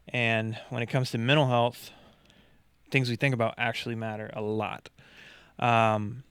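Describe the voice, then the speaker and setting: A man's voice: mid-range voice, flat delivery, unhurried, a bit bright, smooth, no echo, clean studio sound. A man co-hosting a podcast, explaining his ideas earnestly in casual talk with frequent fillers. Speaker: podcast co-host.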